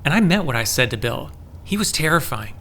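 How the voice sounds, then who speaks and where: A man's voice: a little high, big pitch swings, a little fast, creaky, pushed and bright, heavily acted. A man playing an earnest, troubled, lovelorn farm resident in an audio drama, speaking confidingly. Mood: troubled, regretful. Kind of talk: scripted audio drama acting.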